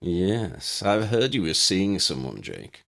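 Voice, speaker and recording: A man's voice: sits low, big pitch swings, takes it slowly, gravelly, a bit bright, exaggerated voice. A man voicing a sardonic upper-class young wizard with a dry, faintly contemptuous manner for an audiobook. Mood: teasing.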